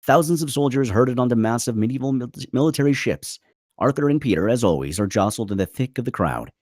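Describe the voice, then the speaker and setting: A man's voice: mid-range voice, expressive, fast talker, darkish tone, no room sound, studio quality. A man reading screenplay stage directions as the narrator of a table read.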